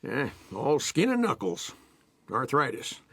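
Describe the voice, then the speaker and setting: An actor playing a blunt, jovial, arthritic old preacher in a radio drama, with a folksy, teasing manner. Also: male voice, mid-range voice, huge pitch swings, a little slow, a bit bright, heavily acted. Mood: rueful. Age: older.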